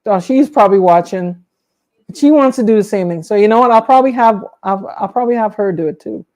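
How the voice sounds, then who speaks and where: A woman with a mid-pitched voice co-hosts an informal livestream. She talks casually and conversationally, as one hobbyist chatting to others. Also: animated, fast talker, a little mellow. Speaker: livestream co-host.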